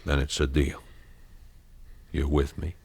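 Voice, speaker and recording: A man's voice: very deep voice, level tone, a little mellow, breathy edge, exaggerated voice. An actor playing a cold, scheming man who plots a murder, in a 1970s radio drama. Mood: menacing. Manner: slow, deliberate, menacing.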